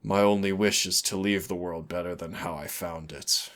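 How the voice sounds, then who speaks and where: A man playing a suave, brooding immortal demon in an intimate roleplay audio drama, speaking with measured, solemn and darkly affectionate drama. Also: deep voice, fairly even intonation, fairly bright tone. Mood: sorrowful, earnest. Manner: teasing endearments, measured, solemn dramatic delivery. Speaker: demon hunter's mentor and partner.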